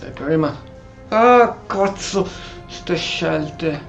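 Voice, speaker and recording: Higher-voiced man giving casual gameplay commentary. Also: animated, slow pace, lo-fi sound, music in the background. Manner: reactive, thinking aloud, exclaiming. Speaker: gaming streamer.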